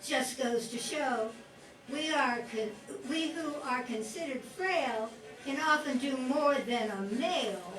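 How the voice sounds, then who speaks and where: A woman playing an earnest, helpful wartime working woman in a 1940s-set radio play, speaking deliberately. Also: high voice. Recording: echoey room, distant mic, music behind.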